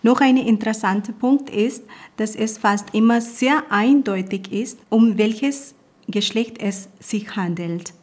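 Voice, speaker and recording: A woman's voice: a little high, darkish tone. Woman presenting her language. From Punjab, Pakistan. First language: Punjabi. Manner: careful, measured delivery with small grammar slips. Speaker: guest presenter. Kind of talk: reading a prepared talk on her language.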